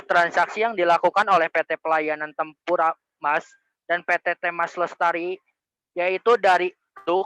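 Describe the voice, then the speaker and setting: Young male student presenter, higher pitched, crisp clean voice, reading his slide. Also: bright, forceful tone, no room sound, lo-fi sound. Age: young adult.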